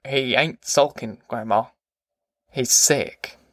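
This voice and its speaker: A performer voicing a gentle, weary former preacher from a novel, quiet and plain-spoken. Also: medium pitch, fairly even intonation, measured pace, no room sound, natural voice. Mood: gentle, grave.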